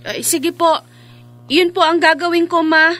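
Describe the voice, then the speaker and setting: A woman's voice: very high-pitched, steady tone, measured pace, heavy background noise. An actress playing an affectionate, devout young woman who works overseas, earnest and emotive in a radio drama. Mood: anxious.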